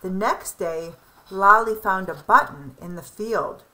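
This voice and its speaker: A woman reading a children's picture book aloud in an expressive, emphatic and deliberate way. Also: medium pitch, low-quality recording. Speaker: storybook reader.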